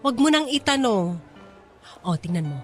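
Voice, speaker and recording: High-pitched, giddy Filipina spendthrift. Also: female voice, sing-song, normal speed. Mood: cheerful, sheepish. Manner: exclamatory, breezy, Taglish slang. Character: carefree spendthrift young mother, breezy, giddy shopaholic friend. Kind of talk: Tagalog radio drama acting. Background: music.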